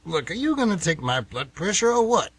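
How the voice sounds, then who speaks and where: Male actor as folksy retired grumbler, husky voice with lively swings, rambling and old-fashioned, radio play. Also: sits high, fairly quick, no echo, heavily acted. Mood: irritated. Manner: rambling, folksy, plain-spoken. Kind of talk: radio drama acting.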